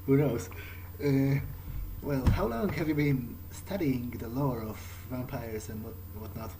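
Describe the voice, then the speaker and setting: A man's voice: medium pitch, a little slow, noisy background. Hesitant, musing man roleplaying through a game. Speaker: gamer playing in character. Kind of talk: improvised in-character gameplay roleplay, conversational.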